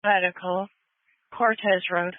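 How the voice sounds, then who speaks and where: A woman's voice: fairly low pitch, fairly even intonation, slow pace, dry recording. Female dispatcher, procedural and confirming. Tinny, narrow-band scanner radio sound, very dry. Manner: procedural, repeats details back. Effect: two-way radio. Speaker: emergency dispatcher.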